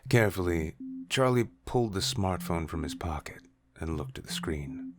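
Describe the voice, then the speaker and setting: A man's voice: low-pitched, lively intonation, moderate pace. A man narrating a scripted story as its narrator.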